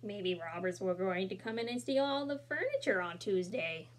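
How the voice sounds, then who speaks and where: A young woman reading a children's picture book aloud in a small room, expressive and adding casual asides, recorded on a laptop camera. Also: mid-range voice, normal speed, slight background noise.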